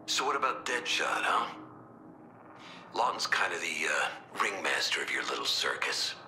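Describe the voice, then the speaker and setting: An actor playing a gruff, stern military squad leader in a video game, speaking in terse, curt warnings. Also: male voice, sits low, big pitch swings, moderate pace, bright, forceful tone, roomy sound, slight background noise, full character voice. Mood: wary, stern. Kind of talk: dramatic video game voice acting.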